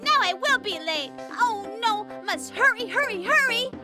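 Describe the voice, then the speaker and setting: Curious young girl, very high voice, animated, storybook, loud music behind. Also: measured pace. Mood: eager. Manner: high, eager, childlike. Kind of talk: storybook radio-style acting.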